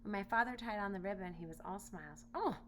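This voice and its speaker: A woman reading a children's storybook aloud in expressive narration. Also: mid-range voice, fast talker. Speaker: storybook reader. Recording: noisy home recording with hum.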